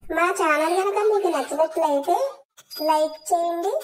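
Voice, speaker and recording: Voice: very high voice, moderate pace, crowd noise. A young-sounding, childlike announcer reading a scripted appeal to viewers of a channel.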